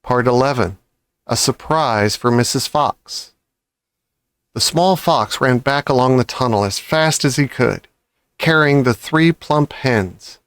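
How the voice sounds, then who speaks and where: A man's voice: mid-range voice, slow, clean tone, dry recording. A man narrates a children's storybook. His voice sits in the middle range for a man, and the recording is dry, with hardly any room sound. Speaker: audiobook reader.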